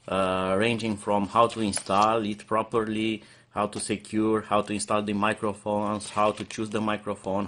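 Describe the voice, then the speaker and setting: A man's voice: on the low side, fairly bright tone, slight background noise. A man giving an unscripted product review, explaining features step by step with hesitant, slightly non-native phrasing.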